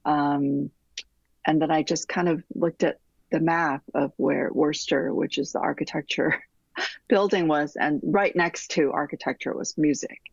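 A middle-aged woman from Taiwan, an architect answering interview questions in a thoughtful way, with many restarts and soft trailing fillers, heard over a video call. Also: low voice, fairly even intonation, measured pace, vocal fry, slightly rough, no room sound, dull audio. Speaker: architect guest. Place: home room with bookshelves, remote call.

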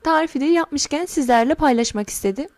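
High-voiced woman explaining a recipe. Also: animated, darkish tone. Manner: friendly, addresses viewers as friends; slow, careful instructions. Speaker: home cooking channel host. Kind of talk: step-by-step recipe voice-over. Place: home kitchen counter.

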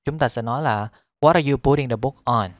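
A man teaching an English lesson. He repeats each phrase carefully and then rattles off his explanation. His voice sits at a middle pitch, and the recording is close and dry. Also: fast talker, dry recording.

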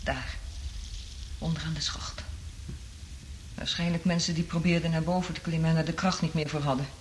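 An actress in a radio drama playing a traumatised woman survivor, half-mad from isolation, speaking in an anguished, halting, dramatic way. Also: sits low, fairly even intonation, a little hiss or hum, lightly acted. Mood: distraught, half-mad.

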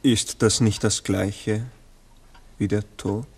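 Low, flat-toned sober witness. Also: male voice, slow, vocal fry, slightly rough, full character voice. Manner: flat, factual reporting. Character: sober, matter-of-fact male witness reporting. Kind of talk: 1960s radio play dialogue. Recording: 1960s mono radio recording.

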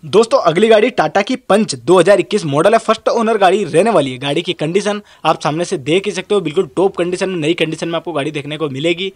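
Fast, high-pitched man from India, vlogger doing a phone-filmed lot walkaround, repetitive sales patter. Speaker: vlogger touring a used car lot. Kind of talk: off-camera walkaround commentary. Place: outdoor used car yard under a shed.

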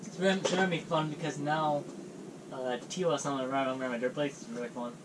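A man's voice: on the high side, fairly even intonation, a little fast, noisy background. A seventeen-year-old boy, blindfolded in a small shed, hesitantly guessing sodas and chatting on a live stream. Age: teens. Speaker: vlogger. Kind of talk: casual live-stream chat. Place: small tarp-walled shed indoors.

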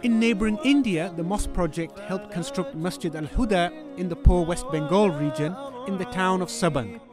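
A man with a fairly high voice reads a scripted charity narration in a measured, reverent manner. Clear music plays behind his voice-over.

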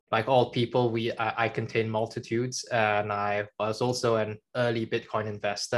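Young man answering plainly on a headset. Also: fairly low pitch. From born in China, grew up in Germany. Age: young adult. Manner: plain, measured, matter-of-fact. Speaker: guest, journalist and author. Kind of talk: interview answers on a video call. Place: home room with a kitchen behind.

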